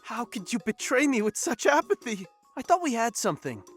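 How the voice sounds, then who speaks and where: A woman's voice: on the high side, very animated, fairly quick, husky, soft background music. A woman playing an exasperated, disapproving alien crewmate in a comedic audio drama, full of dry, indignant protest. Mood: pleading, bitter. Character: exasperated, disapproving female alien space crew member.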